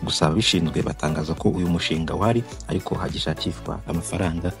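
A man's voice: low voice, steady tone, very fast, music in the background. A Rwandan man narrating a tour video, talking on with many restarts and fillers. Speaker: video presenter.

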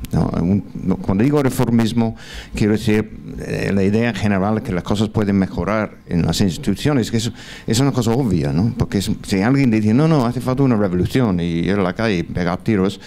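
A man's voice: sits low, mellow. A man in his sixties, a non-native Spanish speaker, standing in a large lecture hall and putting a question from the floor to a panel through a handheld mic, hesitant with many fillers and restarts. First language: not Spanish. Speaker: audience member at a conference. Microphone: handheld mic, standing in the audience.

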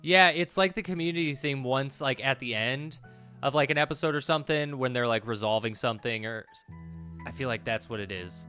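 Bright-voiced man rambling over a video game. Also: mid-range voice, a little fast. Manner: rambling, thinking aloud, mild swearing. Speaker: let's play host. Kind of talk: casual gameplay commentary. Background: game music.

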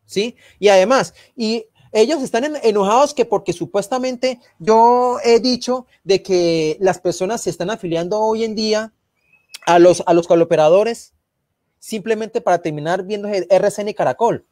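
A man with a Santander Colombian accent speaks in a fairly high voice on a live online panel show. He rambles, repeats and restarts words, and gets animated and indignant when he makes his case. The sound is dry, with no room echo. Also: moderate pace. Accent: Santander Colombian Spanish, from Barrancabermeja. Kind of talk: casual live online talk.

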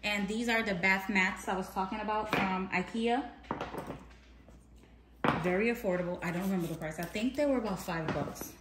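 A woman's voice: mid-range voice, normal speed, noisy background. Chatty woman filming her home, echoey. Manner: chatty, rambling, self-correcting. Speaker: home vlogger. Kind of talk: casual clean-with-me narration. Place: large bright home, kitchen and playroom. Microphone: handheld phone camera.

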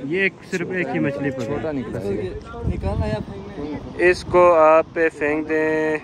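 A man speaks in a chatty, excited vlogging style, dropping plenty of fillers as he talks. His voice sits a little high for a man. He is recorded outdoors by a river, with a crowd of people chattering around him. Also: slow. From Pakistan. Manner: enthusiastic, chatty, with frequent "ji" and "e" fillers. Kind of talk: outdoor vlog narration.

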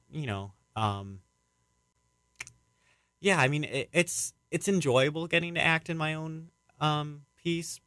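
A man's voice: mid-range voice, bright, forceful tone, dry recording, studio quality. A young man answering interview questions as a playwright, casually, in a hesitant, rambling and reflective way.

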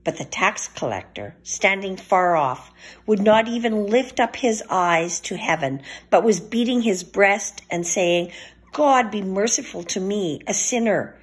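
A woman reading scripture aloud for a church service in a measured, formal way. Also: medium pitch, lively intonation, husky. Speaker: scripture reader.

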